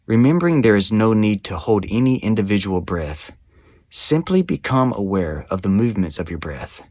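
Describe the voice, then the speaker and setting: A man narrating a scripted guided meditation as a guide, with measured, soothing, instructional phrasing. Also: on the low side, big pitch swings, darkish tone, dry recording, studio quality.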